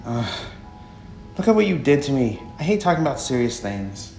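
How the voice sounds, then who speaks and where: A man voicing a friendly, plainspoken delivery woman in a visual novel, chatty and expressive. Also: mid-range voice, normal speed, creaky. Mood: curious, serious. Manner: expressive, animated. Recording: echoey room under music.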